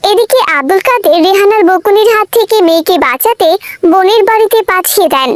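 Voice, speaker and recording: A woman's voice: very high-pitched, lively intonation, fairly quick, no room sound. A woman narrator reading a scripted children's moral cartoon story.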